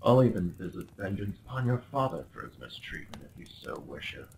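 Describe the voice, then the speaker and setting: A man's voice: sits low, sing-song, a little fast, gravelly, darkish tone, slight background noise, full character voice. A man playing a young, obsessive medieval prince in a dramatic ASMR roleplay, speaking in courtly, theatrical phrases with a possessive, menacingly tender undertone. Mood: possessive, coaxing. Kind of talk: dramatic ASMR roleplay monologue addressed to the listener.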